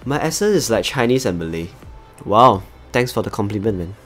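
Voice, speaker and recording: A man's voice: fairly low pitch, sing-song, a little fast, a bit bright, faint music behind. A man from Singapore with a Singaporean accent, chatting casually to viewers as he hosts a live game stream. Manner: chatty, talks to viewers as he plays. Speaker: livestream host.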